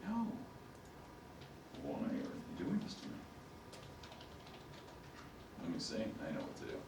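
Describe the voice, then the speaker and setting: A man teaching a class as a professor, his voice raspy right now, talking himself through computer steps. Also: fairly low pitch, wildly swooping pitch, unhurried, reverberant, distant mic, noisy background. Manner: talks to himself while fumbling with the computer. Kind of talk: classroom lecture.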